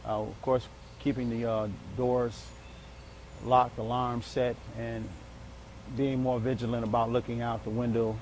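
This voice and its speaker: A man interviewed as a local resident, speaking unscripted in a measured, hesitant way. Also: medium pitch, little pitch movement, darkish tone, slight background noise.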